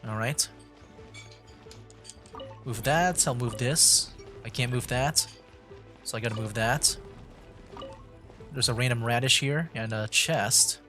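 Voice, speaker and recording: A man streaming a game, casually commenting as he works through puzzles, thinking aloud with halting restarts. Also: medium pitch, pushed and bright, soft background music.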